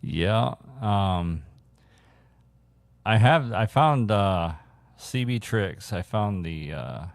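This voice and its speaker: A man hosting a casual radio hobby chat, thinking aloud in a halting way. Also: sits low, gravelly, a little hiss or hum. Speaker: radio hobbyist host showing viewers CB radio gear.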